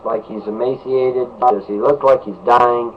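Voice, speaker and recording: A man addresses his livestream audience, firing off the same rhetorical questions again and again in a sarcastic tone. His voice sits in an ordinary middle range. The sound is dull and grainy, as if captured off a low-quality stream. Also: fairly even intonation, unhurried, a little hiss or hum. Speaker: father speaking on his own web show. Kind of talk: livestream rant to viewers.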